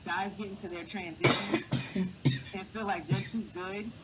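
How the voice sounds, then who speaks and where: A man's voice: steady tone, moderate pace. A man with a light, boyish voice, speaking earnestly as a guest in a casual interview and giving encouraging advice. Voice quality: high, boyish voice. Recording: clear background noise.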